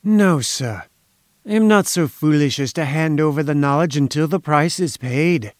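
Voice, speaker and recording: A man's voice: on the high side, dry recording, clean studio sound, close to an everyday voice. Man voicing an oily, velvety foreign schemer. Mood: boastful. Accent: slight foreign. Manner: slow, obsequious, deliberate. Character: oily, menacing, boastful foreign inventor-conspirator, a man.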